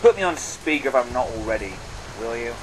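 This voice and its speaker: A man's voice: medium pitch, animated, quick pace, background noise, close to an everyday voice. A man playing a spirited, sardonic government agent in a fan audio drama. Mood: wry, relieved.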